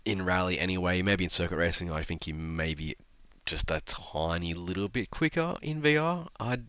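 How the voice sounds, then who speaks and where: A man's voice: low-pitched, expressive, normal speed, a bit bright, smooth, no echo, muffled recording. A young man, stuffed up from a cold, chatting casually about sim racing with lots of ums and restarts. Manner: rambling, frequent ums and restarts. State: congested from a cold. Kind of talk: casual commentary to camera.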